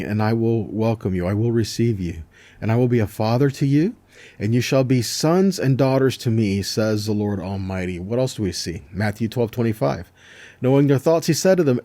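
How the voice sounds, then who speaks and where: Guy doing a casual bible teaching, mellow voice, emphatic, keeps checking in with little questions. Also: mid-range voice, dry recording. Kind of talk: informal teaching sermon with scripture readings.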